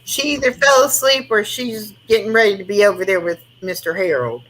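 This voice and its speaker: A woman in her sixties hosting a casual online chat, chatty and quick to laugh, often trailing off mid-sentence. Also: mid-range voice, a bit bright, rough recording. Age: 60s. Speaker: host of an online chat room.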